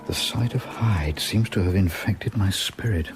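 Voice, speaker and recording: Deep-voiced English gentleman solicitor. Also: soft background music. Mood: brooding, uneasy. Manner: polite, formal, measured. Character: courteous, uneasy older gentleman solicitor, loyal friend. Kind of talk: radio drama acting with brooding narration.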